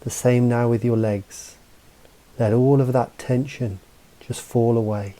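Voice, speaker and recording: A man guiding a mindfulness meditation as a coach, speaking in a calm, measured, instructive way with pauses between phrases. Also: medium pitch. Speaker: meditation guide and mental coach. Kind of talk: guided mindfulness meditation narration.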